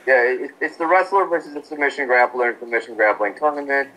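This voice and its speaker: A man co-hosting a casual podcast who talks fast and states his views bluntly. His pitch sits in the middle range, and he rattles through his points with confidence. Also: bright, forceful tone, poor audio. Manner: quick, blunt and decisive opinions. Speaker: podcast co-host. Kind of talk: casual sports chat.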